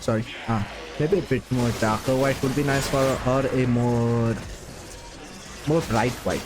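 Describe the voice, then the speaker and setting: A man's voice: mid-range voice, fairly even intonation, unhurried. A man, not a native English speaker, chatting casually while streaming a game, rambling with lots of fillers and little laughs. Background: game music.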